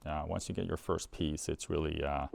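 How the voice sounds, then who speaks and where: A man's voice: a bass voice, steady tone, moderate pace, vocal fry, darkish tone. A man giving a casual, explanatory product demonstration in an informal way, as a presenter for an equipment seller.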